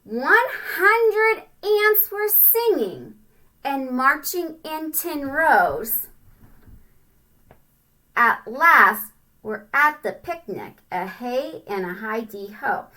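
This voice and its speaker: A woman reading a picture book aloud to children, expressive and singsong, with chatty asides and questions to the listener. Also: fairly high pitch, slow, rough recording. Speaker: read-aloud storyteller.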